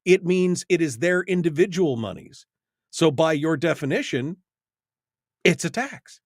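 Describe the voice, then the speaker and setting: A blunt American radio host with a slightly high male voice, recorded close and dry. He talks in an opinionated, emphatic call-in style and likes to hammer a point by repeating it. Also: animated, a little slow, no room sound. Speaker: radio talk show host.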